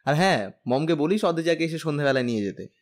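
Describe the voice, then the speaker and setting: A lovestruck young husband played by a man in a romantic audio drama. His voice is fairly high and moves up and down a lot. He is cheeky, stubborn and playful, always ready to plead. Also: animated, quick pace, close to an everyday voice. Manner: pleading, playful, whiny with elders. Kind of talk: Bengali audio drama acting.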